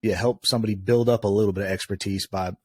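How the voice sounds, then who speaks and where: A man's voice: fairly low pitch, fairly even intonation, fast talker, a little mellow, slightly rough. A man interviewing a guest in a casual, conversational style. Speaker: podcast interviewer.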